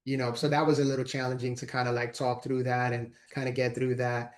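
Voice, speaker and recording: Brief: forties man, earnest motivational speaker guest, quick flat delivery. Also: medium pitch. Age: 40s. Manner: earnest, repeats words while talking. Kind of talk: casual interview conversation.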